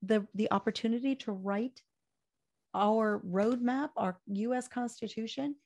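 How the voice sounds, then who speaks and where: An older woman talk radio host with a hoarse voice and a gravelly, creaky edge. She speaks with fiery, preachy conviction and then winds down into a chatty sign-off. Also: on the high side, unhurried, darkish tone. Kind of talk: impassioned political talk show.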